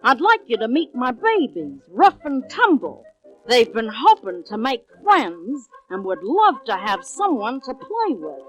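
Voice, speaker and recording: A woman's voice: fairly high pitch, huge pitch swings, measured pace, lo-fi sound, faint music behind. A woman playing a gracious, fussy mother mountain lion in a children's read-along record, drawing out her genteel, motherly chatter.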